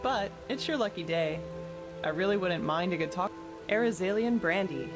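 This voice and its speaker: A woman's voice: on the low side, lively intonation, normal speed, music in the background, natural voice. A woman playing a frank, worldly adventurer in a fantasy game, talking in a casual, wry and blunt way. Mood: friendly, wry. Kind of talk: scripted fantasy video game dialogue.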